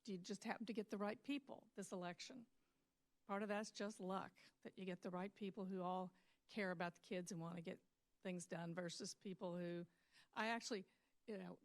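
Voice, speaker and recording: A woman's voice: mid-range voice, fairly even intonation, fairly quick, no room sound. Dry-sounding woman facilitating a board meeting. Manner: conversational, affirming, anecdotal. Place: school board meeting room.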